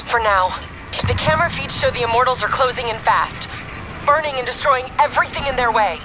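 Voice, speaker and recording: Female, actress as besieged military game operative, steely, slightly high-pitched, clear score underneath. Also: lively intonation, brisk, music in the background, close to an everyday voice, low-quality recording. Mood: tense. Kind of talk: cinematic military game acting.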